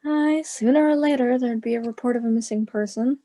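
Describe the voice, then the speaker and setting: A woman playing a calm listener in a radio play, answering with old-fashioned phrasing. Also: a little high, a little fast, dry recording, close to an everyday voice. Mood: measured. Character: calm listener agreeing with a madwoman's account.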